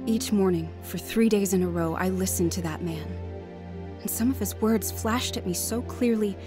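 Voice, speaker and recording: A woman playing an earnest, anxious young wife seeking faith in a radio drama, heartfelt and expressive. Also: medium pitch, normal speed, loud music behind the voice, lightly acted. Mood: reflective narration. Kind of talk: radio drama acting, dialogue and narration.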